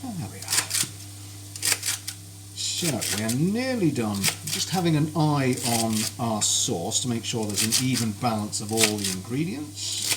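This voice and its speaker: Guy rambling away while he cooks, voice bouncing up and down, pans sizzling loud behind him. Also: mid-range voice, big pitch swings, unhurried. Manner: chatty, genteel and cheerful, greeting viewers by name. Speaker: cooking streamer. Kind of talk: live cooking stream chat. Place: home kitchen. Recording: kitchen noise with sizzling frying.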